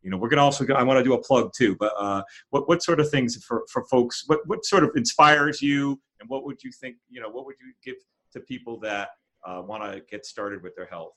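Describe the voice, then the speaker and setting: Male host, mid-range voice with animated intonation, casual and rambling. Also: medium pitch, fairly quick. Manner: conversational, rambling with many restarts. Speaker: podcast host. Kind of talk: casual podcast chat.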